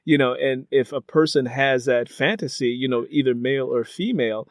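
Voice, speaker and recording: A man hosting a conversational interview, speaking reflectively and working through his points in halting, repeated phrases. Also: medium pitch, no echo, clean studio sound. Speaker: interview host. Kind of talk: conversational radio-style interview.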